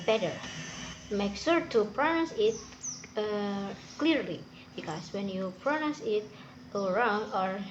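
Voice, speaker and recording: A young woman from Indonesia with an Indonesian accent and Sundanese as her first language, giving an informal walkthrough of a language app and pausing often to find her words. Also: medium pitch, highs cut off. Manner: hesitant, with many fillers and restarts. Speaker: student reviewing a language app. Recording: noisy background hiss.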